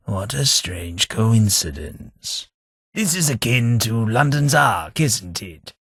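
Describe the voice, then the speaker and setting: An English actor voicing a posh, sardonic ghoul gentleman companion in a video game, with a plummy, dry delivery. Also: deep voice, no room sound, exaggerated voice. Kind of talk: game voice lines.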